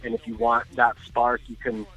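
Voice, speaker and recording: A man calling in by phone to give casual sports analysis, phrasing his points in a measured, halting way. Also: mid-range voice, big pitch swings, creaky, bright, forceful tone, husky. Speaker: sports talk commentator. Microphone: phone call. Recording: thin, tinny phone line.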